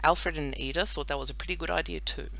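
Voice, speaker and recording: A woman narrating a children's audiobook in an expressive, scripted storytelling style. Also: low-pitched, quick pace, gravelly, rough recording.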